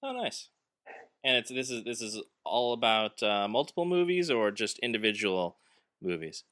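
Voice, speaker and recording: A man's voice: medium pitch, sing-song, moderate pace, fairly bright tone, no echo, studio quality. A man hosting a podcast in casual chat, joking and rambling with lots of fillers. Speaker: podcast host.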